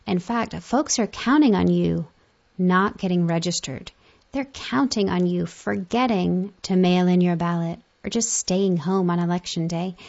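Low, creaky-voiced woman reading articles aloud. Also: darkish tone. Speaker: reader of magazine articles. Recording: dull, muffled lo-fi audio.

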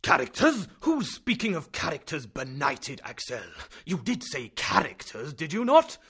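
A man voicing an excitable, impatient fifty-year-old German professor who scolds and lectures loudly. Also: medium pitch, normal speed, husky. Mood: indignant.

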